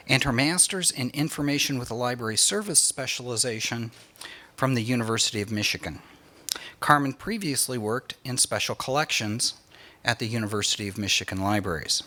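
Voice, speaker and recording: A middle-aged American man hosts a public talk from a stage microphone. His voice is bright and mid-pitched, and he reads his formal introductions in a measured way. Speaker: event host introducing speakers. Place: indoor stage at a library event. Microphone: microphone on a stand.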